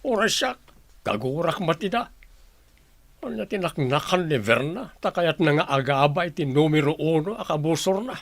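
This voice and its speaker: A man with a Filipino accent playing a talkative, expressive character in a family radio drama. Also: on the high side, normal speed, no room sound. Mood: annoyed.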